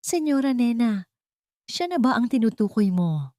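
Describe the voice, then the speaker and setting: A woman's voice: on the high side, big pitch swings, normal speed, mellow, dry recording, studio quality, voice pushed up. A woman with a Filipino accent voicing a respectful middle-aged male lawyer in an audio drama, formal, grave and earnest.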